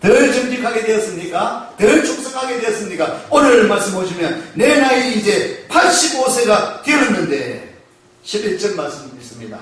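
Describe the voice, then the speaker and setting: Male pastor, high voice, call-and-response sermon, very reverberant church, distant mic. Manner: call-and-response preaching, asking the congregation questions; slows to stress key phrases. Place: church sanctuary. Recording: echoey church hall, mic far off.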